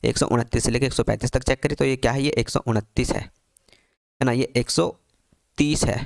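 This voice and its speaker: Gravelly-voiced man repeating himself as he teaches. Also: medium pitch, fairly even intonation, no room sound, studio quality. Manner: repetitive, rhetorical questions with quick answers. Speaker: exam tutor. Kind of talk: screen-walkthrough tutorial.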